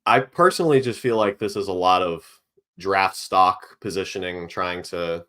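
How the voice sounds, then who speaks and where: A man from New England co-hosting a sports podcast in relaxed, easygoing chat. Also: low-pitched, normal speed. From New England, United States. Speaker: podcast co-host.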